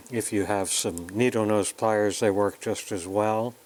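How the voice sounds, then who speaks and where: Low-voiced man giving a hands-on tutorial. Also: fairly even intonation, slow, a little mellow, a little hiss or hum. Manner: plain, explanatory, talking through each step while working. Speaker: host of an electronics kit build tutorial. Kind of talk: casual hands-on tutorial narration.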